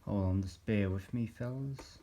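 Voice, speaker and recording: A man, croaky from a cold, rambling through a casual vlog with hesitant pauses, recorded on a handheld phone camera. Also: lowish voice, mellow. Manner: hesitant, meandering, many pauses. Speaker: vlogger.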